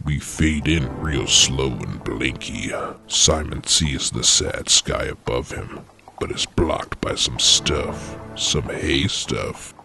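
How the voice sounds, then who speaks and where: A man narrating a comedy script like screenplay directions, in a deadpan, mock-dramatic way. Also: rumbling low, slow pace, gravelly. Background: music.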